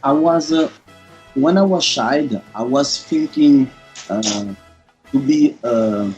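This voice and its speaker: A man interviewed about his past, speaking hesitantly with many pauses and small laughs. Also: a little high, darkish tone, dull audio. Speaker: interview guest. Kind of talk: casual interview answers. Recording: faint music behind.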